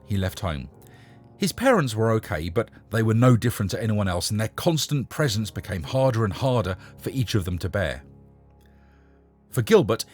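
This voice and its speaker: Husky, expressive low-voiced man reading a story. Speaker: narrator and host reading short stories. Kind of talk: scripted story narration with a short casual introduction.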